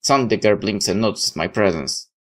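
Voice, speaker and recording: A man reading a first-person story aloud in a dramatic, slightly halting, expressive way. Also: on the low side. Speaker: video game player reading a visual novel aloud.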